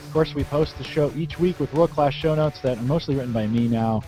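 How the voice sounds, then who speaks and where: A man's voice: on the high side, a little fast, mellow, soft background music. A man hosting a casual tech podcast from his home studio, chatty and rambling, with lots of fillers and restarts. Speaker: podcast host.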